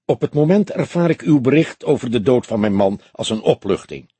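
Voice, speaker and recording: A man's voice: mid-range voice, animated, fairly quick, mellow, husky, no echo. A man voicing a composed, proud and stern newly widowed woman in an audiobook crime story. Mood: cool, hard.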